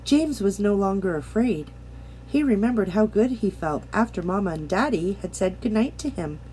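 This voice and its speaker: A woman reading a children's picture book aloud, gently and deliberately, with dramatic pauses. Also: medium pitch, expressive, slight background noise, poor audio. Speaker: storybook reader. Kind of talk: expressive children's bedtime read-aloud.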